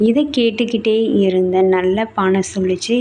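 A woman's voice: medium pitch, fairly even intonation, moderate pace, a little mellow, slight background noise. A woman narrating a bedtime story for children as a storyteller.

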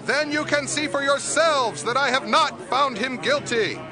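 Exasperated, commanding Roman governor, very high projected voice, audio drama over music and crowd noise. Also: male voice, unhurried. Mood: loud, insistent. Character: exasperated male Roman governor questioning a prisoner.

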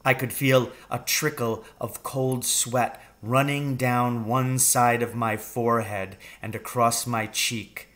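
Slow, measured man reading a story. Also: medium pitch, fairly even intonation, fairly bright tone. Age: middle-aged. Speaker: storybook reader. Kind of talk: children's novel read aloud, first-person narration. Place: room at home with wooden ceiling.

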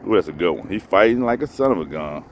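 A man's voice: deep voice, lively intonation, quick pace. A man talking casually to viewers while fishing from a pond bank, filmed on a head-mounted camera. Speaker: fishing vlogger. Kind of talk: casual outdoor fishing commentary. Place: grassy pond bank outdoors. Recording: faint outdoor background noise.

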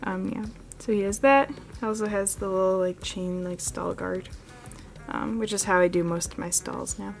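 A woman's voice: mid-range voice, moderate pace, creaky. Woman hosting a casual tour, lots of likes and ums, close handheld cam, faint music under. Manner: chatty, filler-heavy, frequent "like" and "um". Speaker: model horse channel host. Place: carpeted room indoors. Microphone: handheld camera filming close.

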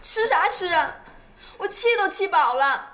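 A woman's voice: very high-pitched, animated, measured pace. An actress playing a brash, melodramatic young woman apprentice with a Northeastern Chinese accent in a comic TV drama. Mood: tearful, aggrieved. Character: feisty, whiny young woman apprentice, quick to cry. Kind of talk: broad television comedy-drama acting. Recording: music behind the voice.

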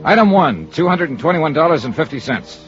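Cool american insurance investigator, old radio show, dull sound, very expressive, dry and sardonic. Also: male voice, on the high side, fairly quick. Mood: brisk narration. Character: wry, cool male freelance insurance investigator. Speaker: lead actor and narrator of a radio detective serial. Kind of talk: 1950s radio detective acting, first-person narration. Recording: muffled 1950s radio recording with music.

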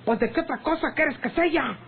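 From a tinny old radio recording with faint noise, a country Mexican servant woman. She is sharp-tongued and folksy in a mid-pitched voice. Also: normal speed, creaky. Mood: scolding. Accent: rural Mexican. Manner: folksy, scolding.

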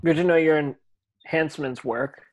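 A man's voice: fairly high pitch, fairly even intonation, normal speed, lightly acted. A man reading the part of an earnest, determined teenage wizard in a script table read. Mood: tense. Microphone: video call.

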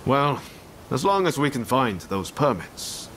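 An actor playing an easygoing, idealistic wandering ronin in a video game, with a teasing, confident manner. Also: male voice, medium pitch, very animated, a little slow, a bit bright, a little hiss or hum. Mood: casual, sly. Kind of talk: video game dialogue acting.

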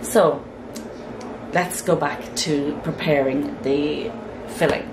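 A woman hosting a home cooking demonstration, chatty and self-mocking as she talks through each step, her voice croaky from lack of sleep. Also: low-pitched, fairly even intonation, slow. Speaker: home cooking channel host. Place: home kitchen. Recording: echoey noisy kitchen.

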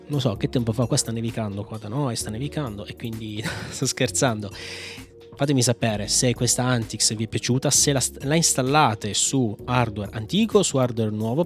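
Informal man chatting about tech. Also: mid-range voice. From Italy. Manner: informal, playful, slangy, rambling. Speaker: host of a Linux video channel. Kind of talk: casual tech tutorial chat. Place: small home room. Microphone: microphone on a boom arm, close.

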